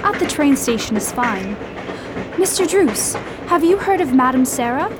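A woman's voice: high voice, sing-song, normal speed, background noise. A woman playing an eager, willful young fiancée in a radio drama, earnest and girlish in manner. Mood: earnest, determined.

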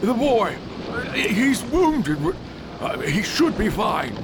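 An actor playing a terrified captive sailor pleading for mercy in a radio drama. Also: male voice, sits high, big pitch swings, slow pace, noisy background, exaggerated voice. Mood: frightened pleading.

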